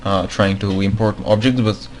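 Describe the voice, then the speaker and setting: A man's voice: fairly low pitch, steady tone, normal speed, slight background noise. A man who is not a native English speaker, giving a screen-recorded tutorial on building game mods. He talks hesitantly, with many fillers and repeated words. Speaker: game modding tutorial maker.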